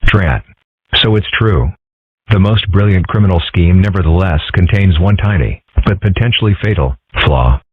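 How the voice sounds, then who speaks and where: A man's voice: deep voice, moderate pace, husky. A man voicing a geeky, scheming, self-important boy in a cartoon comic dub, wordy and pompous. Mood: flustered. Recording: tinny, phone-like audio.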